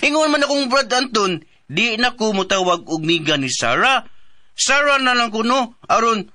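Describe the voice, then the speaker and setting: An actor playing a childlike, simple-minded young man in a radio drama, blurting naive short phrases in halting, childish speech. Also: very high voice, sing-song, bright, forceful tone, exaggerated voice. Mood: naive, insistent.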